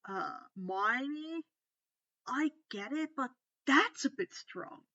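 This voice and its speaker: A woman's voice: sits high, animated, takes it slowly, bright, forceful tone, highs cut off, pitched above the performer's own voice, full character voice. Woman reading as a wary teenage boy sidekick. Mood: taken aback. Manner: wary, concerned. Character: loyal, cautious, doubtful teenage boy sidekick.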